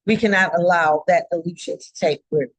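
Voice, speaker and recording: A woman delivering a formal scripted public address in a stern, solemn, emphatic manner, with halting phrasing. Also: lowish voice, no room sound. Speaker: judge giving a public address.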